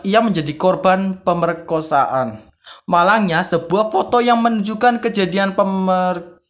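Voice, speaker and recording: A young-sounding, high-voiced man hosts an informal vlog. He reads written accounts aloud, then rephrases them and reacts in everyday conversational language. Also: a bit bright. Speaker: video creator, host. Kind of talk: casual vlog, reading stories aloud with commentary.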